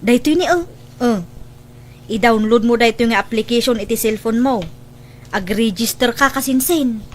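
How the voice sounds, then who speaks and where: A pushy village woman, played by an actress with a high voice. She is coaxing and insistent, fretful about money, in a comic audio drama. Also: normal speed, pauses often, a little mellow, slight background noise, natural voice. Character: pushy, money-anxious village woman recruiting relatives into a scheme. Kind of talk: scripted audio comedy drama dialogue.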